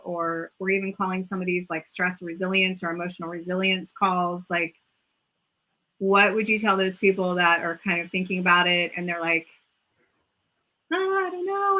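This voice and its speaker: Bright, eager woman host. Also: mid-range voice. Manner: enthusiastic, repeats phrases, many "like" fillers. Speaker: host and yoga mentor. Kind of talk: casual video-call interview.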